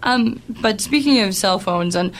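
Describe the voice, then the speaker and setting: A woman's voice: medium pitch, a little slow. A woman hosting a radio talk show, asking long rambling questions in a conversational way.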